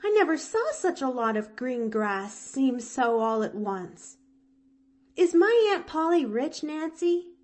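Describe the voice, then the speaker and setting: A woman's voice: high-pitched, sing-song, slow pace, darkish tone, voice pushed up, exaggerated voice. A woman voicing a cheerful, eager little orphan girl who talks breathlessly and gushes. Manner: breathless, gushing, chattery. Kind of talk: audiobook character voice.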